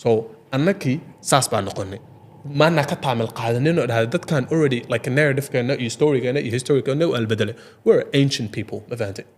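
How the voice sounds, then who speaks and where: Very fast-talking man in discussion. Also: mid-range voice, darkish tone. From Ogaden region. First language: Somali. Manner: repeats filler phrases, switches between Somali and English. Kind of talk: impassioned casual discussion.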